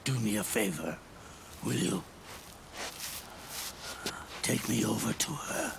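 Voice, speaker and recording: An actor playing a dying old soldier in a video game, weary and regretful, with a gravelly, breathy voice and halting, solemn phrases. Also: male voice, medium pitch, lively intonation, background noise, heavily acted. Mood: weary, explanatory. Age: older. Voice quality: gravelly, breathy, often fading to a whisper. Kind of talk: cinematic video game acting. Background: music.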